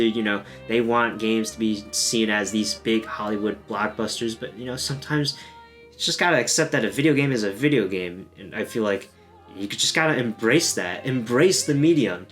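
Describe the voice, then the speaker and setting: A man's voice: medium pitch, fairly bright tone. A man hosting a gaming video, talking in an informal, self-deprecating, joking way like a casual video essay. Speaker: host of a gaming video channel.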